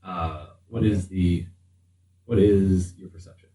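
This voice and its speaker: Slow, low-pitched man narrating in a roomy space. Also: steady tone, mellow. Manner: halting, with long pauses mid-sentence. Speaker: game master. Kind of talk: improvised narration of a tabletop roleplaying game. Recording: roomy, distant mic with faint noise.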